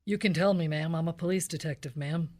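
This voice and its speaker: A woman playing a deadpan, hard-boiled police detective in a radio cop-show parody, speaking in a clipped, officious way. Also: deep voice, fairly quick.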